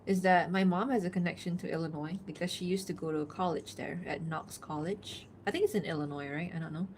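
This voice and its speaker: A woman's voice: lowish voice, fairly even intonation, brisk, slight background noise. Chatty woman streamer from Malaysia. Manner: chatty, laughs often, reads out chat messages. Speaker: art streamer. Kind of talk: casual live-stream chat.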